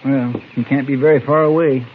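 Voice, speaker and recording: A man's voice: mid-range voice, fairly even intonation, a little fast, lightly acted. An American man playing an earnest, loyal, folksy deputy in an old-time radio western, deferential to his boss. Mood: earnest, puzzled. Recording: noisy, tinny old radio recording.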